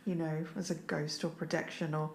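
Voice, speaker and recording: A woman talks as a podcast co-host in a low voice with heavy vocal fry. The sound is roomy and echoing. Faint music sits underneath. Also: little pitch movement, moderate pace, slight background noise. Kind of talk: podcast discussion.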